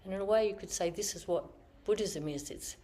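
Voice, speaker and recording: A woman Zen teacher giving a dharma talk in slow, reflective, searching phrases, her voice a little croaky after being ill. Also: medium pitch, dark tone. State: slightly croaky from illness. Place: meditation hall with online audience.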